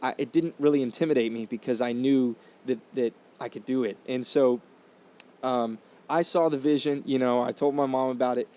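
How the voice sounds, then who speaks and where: Brief: man, 20s, podcast host, earnest and reflective, mid-pitched voice, talks through restarts, condenser mic. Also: steady tone, normal speed, dark tone. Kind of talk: casual storytelling monologue. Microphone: condenser microphone.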